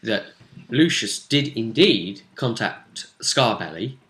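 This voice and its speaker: A man chatting casually as a game master, recapping a tabletop role-play session with animated storytelling and asides. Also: lowish voice, slow, low-quality recording.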